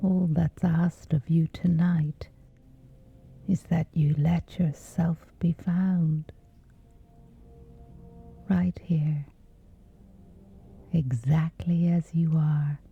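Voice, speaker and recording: A woman softly guiding a scripted sleep meditation in a breathy, gentle, soothing hush with long pauses. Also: deep voice, dull audio. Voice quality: breathy, whispery soft.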